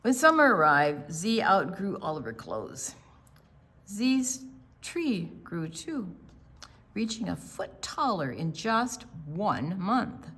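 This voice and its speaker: An older woman reading aloud and explaining things to young children in a deliberate, teacherly, expressive way. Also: mid-range voice, breathy edge. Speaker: children's storytime presenter.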